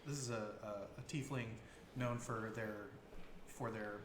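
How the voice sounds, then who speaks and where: A man's voice: on the low side, fairly even intonation, a little slow. A man narrating as dungeon master in a casual, laughing way, with many fillers. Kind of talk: narrating a tabletop game. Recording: roomy with faint noise.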